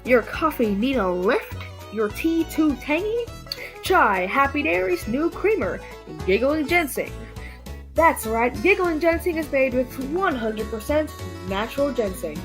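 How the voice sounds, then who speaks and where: A perky commercial pitchwoman acted by a woman sells in old-time radio style. Her voice is fairly high, and music plays clearly behind it. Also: very animated, measured pace. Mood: perky, selling.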